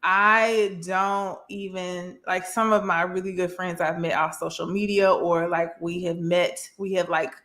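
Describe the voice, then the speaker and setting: Young woman from Memphis, livestream guest, expressive and chatty, lower-pitched. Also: measured pace, hardly any pauses. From Memphis, Tennessee. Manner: bubbly and expressive, calls people girl and sis. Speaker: guest therapist. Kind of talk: casual chat on a livestream.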